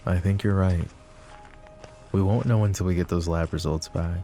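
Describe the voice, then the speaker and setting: A man playing a possessive, overprotective mafia boss in an intimate roleplay audio drama, with a low gravelly voice and a measured, commanding manner. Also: fairly even intonation, mellow, soft background music. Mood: serious, measured. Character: possessive, overprotective male mafia boss, curt but caring. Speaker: voice actor.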